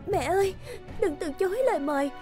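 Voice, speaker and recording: An actress playing a tender, emotional young maiden in an animated fairy tale, with plaintive theatrical delivery. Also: female voice, very high voice, brisk, faint music behind. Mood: pleading, hurt.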